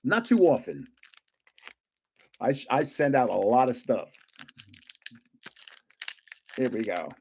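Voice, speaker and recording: Animated older man spinning stories. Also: takes it slowly, pauses often, slight background noise. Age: 70s. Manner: animated, laughs often, rambling anecdotes; self-described booming voice when yelling. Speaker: livestream host opening trading cards. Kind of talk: casual storytelling chat.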